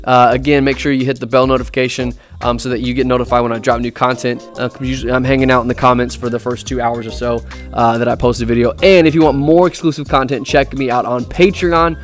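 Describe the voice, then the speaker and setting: A young man in a dark studio room, giving a casual review to camera, talking off-axis into a boom-arm condenser mic, with lots of ums and restarts. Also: little pitch movement, quick pace, few pauses, faint music behind. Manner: chatty, many ums and restarts. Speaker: drummer and gear reviewer. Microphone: USB condenser on a boom arm, speaking off-axis.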